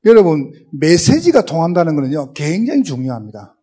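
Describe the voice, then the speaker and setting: A pastor from the Gyeongsang region, a man with a thick Gyeongsang accent, preaches with lively storytelling and acts out the voices in his anecdotes. His voice sits a little high for a man, dark and slightly husky, with a mellow tone. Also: fairly quick. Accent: Gyeongsang Korean. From Gyeongsang, South Korea. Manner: storytelling, acts out dialogue, speeds up and laughs. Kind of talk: humorous sermon with anecdotes.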